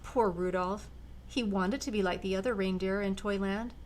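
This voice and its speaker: An older woman reads a children's storybook aloud in a gentle, expressive way. Her voice sits at a middling pitch. A faint hiss runs under the recording. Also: moderate pace. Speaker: storyteller grandmother. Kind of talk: reading a picture book aloud.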